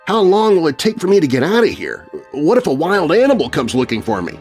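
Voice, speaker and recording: A curious, easily scared boy, played by a male narrator in a children's spooky storybook, his pitch jumping high in breathless, panicky bursts. The delivery swings widely over a soft music bed. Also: sing-song, fairly quick. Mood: anxious. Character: frightened, curious young boy exploring a haunted cave.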